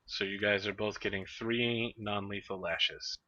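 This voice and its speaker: A man's voice: lowish voice, steady tone, a little slow, pushed and bright, dry recording, muffled recording. A man running a tabletop game as game master, matter-of-fact with dry humor.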